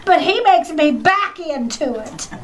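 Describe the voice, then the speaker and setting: A woman's voice: sits high, lively intonation, a little slow. A woman playing a mischievous little schoolgirl with an impertinent, mock-innocent manner in an old-time radio comedy. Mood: cheeky, mock-innocent. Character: cheeky mischievous little girl, a fourth-grade schoolchild.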